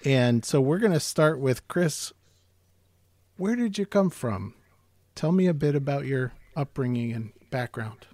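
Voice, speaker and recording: A middle-aged man hosting an interview, asking questions in a relaxed conversational way. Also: mid-range voice, a little slow, no room sound. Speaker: host.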